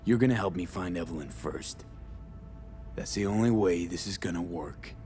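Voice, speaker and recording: A man's voice: low-pitched, moderate pace, darkish tone, faint music behind, natural voice. An actor playing a tough, blunt male mercenary in a video game, terse, pushy and skeptical. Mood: bargaining, firm. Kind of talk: video game dialogue acting.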